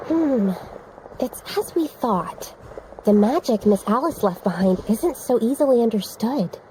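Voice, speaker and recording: Shy bookish researcher girl, high-ish and mellow. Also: animated, unhurried, a little hiss or hum, rough recording. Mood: pondering. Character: shy young woman researcher, earnest.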